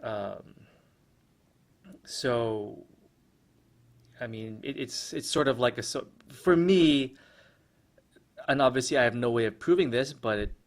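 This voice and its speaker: A man's voice: medium pitch, lively intonation, slow, pauses often. A man hosting a solo podcast, talking through his thoughts with lots of fillers and restarts. His first language is American English. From Philippines. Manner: hesitant, many restarts and fillers, reflective. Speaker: podcast host. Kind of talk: casual solo podcast commentary.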